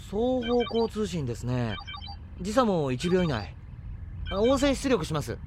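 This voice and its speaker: An actor playing an excitable young spaceship navigator who talks in casual, eager junior slang in a Japanese audio drama. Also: male voice, sits high, unhurried, a crowd in the background. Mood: businesslike.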